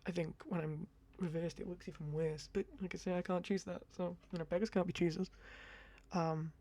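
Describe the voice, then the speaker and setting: A young man in his twenties with a high-pitched voice, rambling casually to camera in a chatty, self-deprecating ASMR talk on a close mic. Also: level tone, fairly quick, darkish tone. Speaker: ASMR creator. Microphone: close condenser mic.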